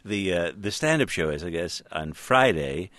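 A man interviewing a comedian, asking his questions in a halting way with many restarts. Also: low-pitched, animated, a bit bright, no room sound. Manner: halting, restarts, frequent fillers. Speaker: radio interviewer.

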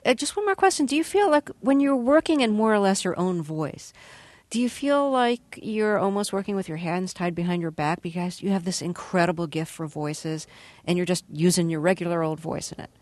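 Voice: medium pitch, expressive, a little fast, no room sound. A radio host asking curious, appreciative interview questions.

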